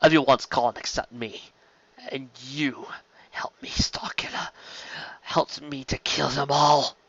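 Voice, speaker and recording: A man's voice: mid-range voice, fairly even intonation, creaky, fairly bright tone, no echo, whispered, exaggerated voice. A man reading a story puts on a bereaved Englishman who has lost everyone. He speaks in stilted, careful fragments, numb and haunted. The recording is dry and a little muffled. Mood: bleak, haunted. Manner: stilted, precise, halting delivery. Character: grief-stricken, stilted Englishman, traumatized survivor.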